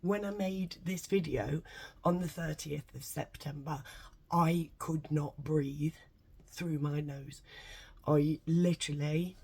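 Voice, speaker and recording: A woman with a congested, bunged-up nose, rambling excitedly and swearing a lot in a casual vlog from home. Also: sits low, creaky, breathy edge. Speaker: vlogger.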